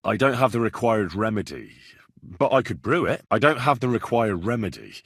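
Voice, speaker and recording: A young medieval vagabond posing as a learned healer in a video game. His voice is slightly low and husky, with very animated pitch. He speaks with earnest, deferential formality, close and dry. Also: no room sound, full character voice. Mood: earnest, persuasive. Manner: deferential, measured, formal address. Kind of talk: scripted video game dialogue.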